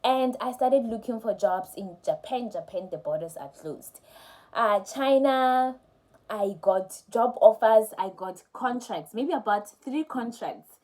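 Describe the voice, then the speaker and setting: A woman's voice: fairly high pitch, expressive, unhurried. A young woman, a teacher, telling a personal story to camera in a candid, conversational way. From South Africa. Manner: candid, conversational, with soft laughs. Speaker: teacher vlogger.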